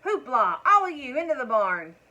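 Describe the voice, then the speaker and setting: A woman voicing a hot-tempered male farmer who yells and grumbles gruffly. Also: medium pitch, moderate pace, highs cut off. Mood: shouting. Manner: yelling, gruff.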